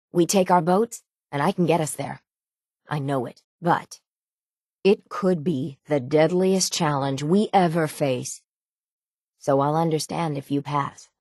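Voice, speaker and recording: An actress playing a weathered, determined harbor seafarer in a video game, gruff and dutiful, with salty, old-fashioned folksy phrasing. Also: female voice, sits low, darkish tone, no echo, clean studio sound. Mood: grave, resolute. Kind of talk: video game character dialogue.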